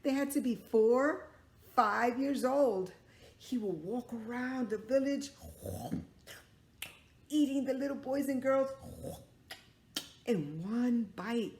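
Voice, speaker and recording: A woman telling a story to young children, dramatic, stretching out words and dropping into hushed tones, with questions to her listeners. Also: fairly high pitch, slow pace, pauses often, slight background noise. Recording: echoey room.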